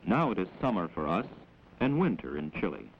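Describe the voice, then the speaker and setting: A man with an American accent gives measured, instructive scripted narration in the manner of a 1940s educational film, on an old film soundtrack. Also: low voice, sing-song. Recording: dull, noisy old 16mm film soundtrack.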